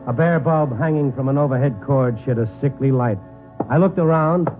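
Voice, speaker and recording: An actor playing a world-weary, wisecracking reporter in a hardboiled 1950s radio noir, both narrating and in scene. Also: male voice, mid-range voice, normal speed, close to an everyday voice. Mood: wry, brooding. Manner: hardboiled, wry, terse. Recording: narrow, muffled 1950s radio broadcast. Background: dramatic background music.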